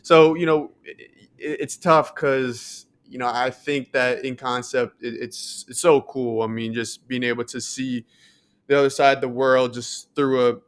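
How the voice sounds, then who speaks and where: A man's voice: medium pitch, steady tone, unhurried, smooth. A man co-hosting a podcast in casual, reflective conversation. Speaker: podcast co-host. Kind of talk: casual chat.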